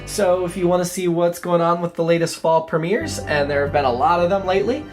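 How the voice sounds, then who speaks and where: A man introduces a podcast in a scripted, promotional hosting style. His voice sits on the high side for a male, and guitar music plays clearly behind him. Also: a little fast. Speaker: podcast host and TV reviewer.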